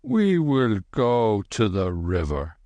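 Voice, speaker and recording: A man voicing an old, gentle holy man who speaks in dignified, archaic phrases. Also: fairly low pitch, wildly swooping pitch, takes it slowly, no echo, heavily acted. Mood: solemn. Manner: solemn, archaic, dignified speech.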